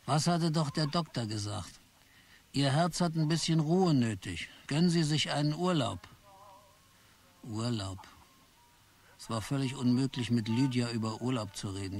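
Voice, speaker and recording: A worn-down clerk, voiced by a man, tells his story in a radio play in a tired, bitter, brooding voice. His pitch sits in an ordinary middle range. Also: a little mellow, lightly acted. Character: weary, put-upon office clerk Charlie. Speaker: narrator and main character. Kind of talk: first-person radio play narration.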